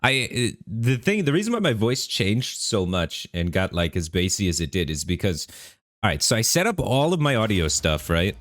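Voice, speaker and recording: A man streaming a game live, talking in a relaxed, rambling way with lots of fillers, his voice bassy on a studio microphone at his home setup. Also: normal speed, no room sound. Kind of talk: casual live-stream commentary. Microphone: studio microphone through an audio interface.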